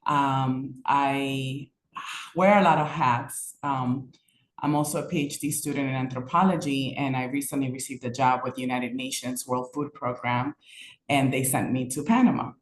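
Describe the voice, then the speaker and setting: A woman's voice: extremely low pitch, steady tone, normal speed. Woman on a roomy video call. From New York. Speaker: poet and panelist. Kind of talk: artist panel introduction. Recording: roomy call audio with faint noise.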